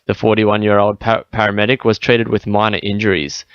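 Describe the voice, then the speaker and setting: A man's voice: lowish voice, monotone, a little fast, clear, resonant tone, no room sound, highs cut off. An Australian man reading a scripted radio news bulletin.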